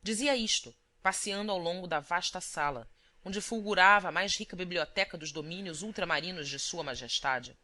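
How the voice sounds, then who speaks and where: Bright-voiced woman narrating literature. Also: lowish voice, normal speed. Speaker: audiobook narrator.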